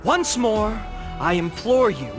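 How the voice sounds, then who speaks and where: A young male guardian orator for a video game. His voice is high and swings widely as he delivers solemn, eloquent rhetoric with theatrical weight. Music plays softly underneath. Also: very animated, slow pace, exaggerated voice. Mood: earnest pleading. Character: impassioned young male orator, guardian demigod of a holy city. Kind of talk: dramatic theatrical oration in video game voice acting.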